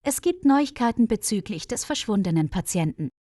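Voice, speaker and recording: A woman's voice: mid-range voice, big pitch swings, moderate pace, warm tone, no room sound, studio quality. A woman narrator voicing a tired, worried female hospital colleague in a story, earnest and explanatory.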